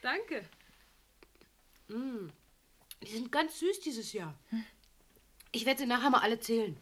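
A slow, deferential maid companion. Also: female voice, a little high, expressive, fairly bright tone, exaggerated voice. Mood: pleased. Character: deferential, loyal maid companion to pastor's wife. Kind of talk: radio drama acting.

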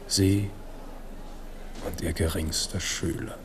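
A man playing a reflective king, speaking in an intimate, weighty monologue with pauses for a radio drama. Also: deep voice, fairly even intonation, noisy background. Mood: solemn, wistful. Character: ageing, reflective King Henri of France. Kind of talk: 1970s German radio drama acting.

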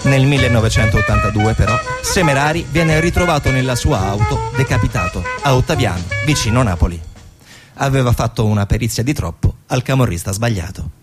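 Animated male theatre narrator over music. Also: medium pitch, brisk. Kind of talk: dramatic scripted narration.

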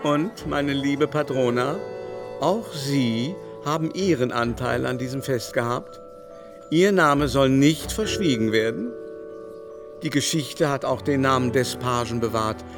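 An actor playing a pompous, condescending, effusive baron in a radio drama, with a grandiloquent, mannered delivery. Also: male voice, mid-range voice, natural voice. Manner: grandiloquent, mannered, swooping exclamations.

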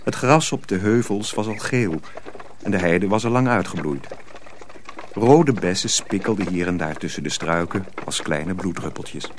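A male narrator reads storybook prose for a radio play. His voice sits fairly low and moves expressively up and down. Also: lively intonation, normal speed, a little hiss or hum.

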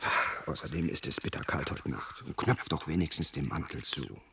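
An actor playing an impatient, theatrical gentleman with an insistent, dramatic manner in a radio play. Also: a bass voice, normal speed, creaky, breathy edge, exaggerated voice. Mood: concerned, coaxing. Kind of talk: German radio play acting.

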